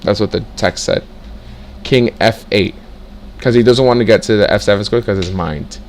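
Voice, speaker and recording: A young man teaching chess in a casual way, reading out moves and breaking into excited exclamations as he works through the position. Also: on the low side, normal speed, slight background noise. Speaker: chess tutor. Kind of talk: casual screen-share lesson, thinking aloud.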